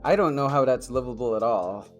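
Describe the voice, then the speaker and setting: Filipino male host, middle pitch, easygoing, reads chat aloud, faint guitar behind. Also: lively intonation, brisk. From Philippines. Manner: easygoing, reads out chat messages and answers them. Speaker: podcast host. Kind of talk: casual podcast chat, reading chat messages.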